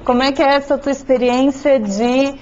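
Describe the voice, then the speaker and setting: A woman's voice: high-pitched, fairly even intonation, moderate pace, a little mellow. A woman moderating a seminar panel, informal, addressing guests as "tu". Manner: informal, uses "tu". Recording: dull lo-fi sound.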